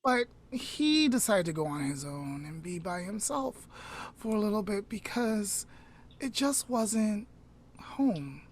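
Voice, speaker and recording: A woman podcast host telling a story aloud informally, in a measured way with dramatic pauses. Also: mid-range voice, expressive, a little hiss or hum.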